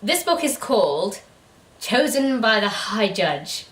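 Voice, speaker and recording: A woman co-hosting a book review channel, chatting casually in an animated, incredulous way. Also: mid-range voice, takes it slowly, recorded from a distance. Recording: echoey room, away from the mic.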